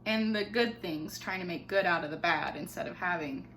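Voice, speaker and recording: A young woman teacher reading a picture book aloud to her class from home, with expressive storybook delivery and dramatic pauses. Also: fairly low pitch, slight background noise, rough recording. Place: home living room.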